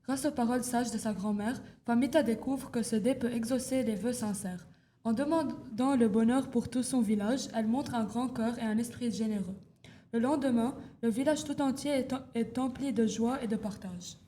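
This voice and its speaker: A woman giving a steady spoken summary of a short story. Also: fairly high pitch, brisk, a little hiss or hum.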